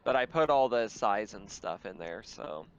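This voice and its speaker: A man's voice: lowish voice, lively intonation, normal speed, muffled recording, slight background noise. A man chatting casually as game master of a tabletop roleplaying game.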